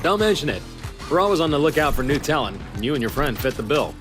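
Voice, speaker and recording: An actor playing a confident, slick race event organizer in a video game cutscene, smooth-talking and promotional. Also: male voice, on the high side, big pitch swings, fast talker, over music. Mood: assured, persuasive.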